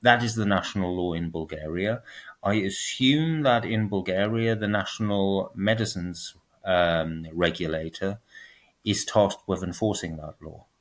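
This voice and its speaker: Low-voiced man explaining policy calmly. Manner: measured, explanatory, lightly ironic. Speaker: guest, transparency advocate. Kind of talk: podcast interview.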